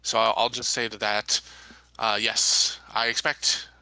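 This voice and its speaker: A man playing a guarded, authoritative colony security chief in a tabletop roleplay, talking in a measured, careful, official way. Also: lowish voice, level tone, creaky, bright, forceful tone, no room sound, exaggerated voice, rough recording. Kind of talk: improvised tabletop roleplay dialogue.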